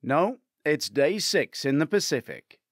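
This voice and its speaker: Guy doing brisk detective colleague, deadpan teasing, audiobook, dry close sound. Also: fairly high pitch, no echo, voice pushed up. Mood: matter-of-fact. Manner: matter-of-fact, wry. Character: brisk, teasing male investigator colleague delivering bad news.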